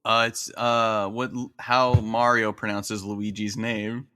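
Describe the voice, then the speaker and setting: A man's voice: fairly low pitch, flat delivery, takes it slowly, sharp tone. A man co-hosting a podcast with casual, wisecracking banter. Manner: joking, wisecracking. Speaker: podcast co-host.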